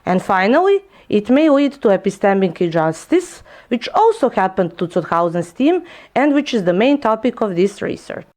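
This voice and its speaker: A young woman whose first language is Serbian, presenting a research paper in a clear, explanatory way with a few small slips. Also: medium pitch, very animated, moderate pace, a little mellow. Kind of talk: prepared lecture presentation.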